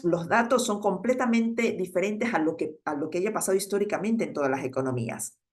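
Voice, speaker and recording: A woman giving a class lecture, explaining patiently and checking in with her students, with frequent hesitations. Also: mid-range voice. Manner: explains patiently, asks "¿sí?" often, many "eh" fillers. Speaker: instructor.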